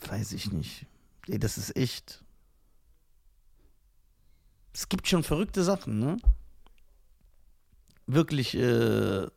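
A man's voice: mid-range voice, sing-song, takes it slowly, gravelly, darkish tone. A man from Iran co-hosting a casual podcast chat, reading entries from a list aloud with mock drama. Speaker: podcast co-host.

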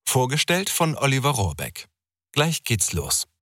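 A man with a medium-pitched voice works as an announcer. He delivers a scripted intro in a presenting style. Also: wildly swooping pitch, unhurried.

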